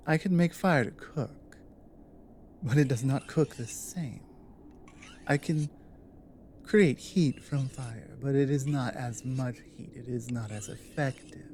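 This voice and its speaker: Slow, low-voiced orc woman played by an actress. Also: warm tone, slight background noise. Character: strong, gentle female orc warrior, protective and earnest. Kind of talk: intimate ASMR roleplay monologue.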